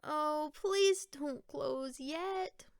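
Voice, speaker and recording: A woman's voice: sits high, extremely slow, vocal fry, no echo, clean studio sound, voice pushed up, heavily acted. A woman voicing a tiny, hopeful, wistful girl pumpkin in a picture book read-aloud, earnest and pleading.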